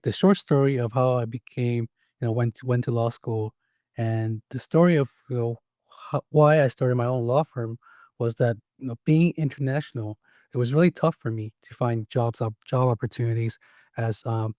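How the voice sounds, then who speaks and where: A man from Taiwan, an attorney, telling his story as an interview guest in a measured way. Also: mid-range voice, dark tone, dry recording, studio quality. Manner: measured, with frequent "you know" fillers. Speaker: attorney and law firm owner.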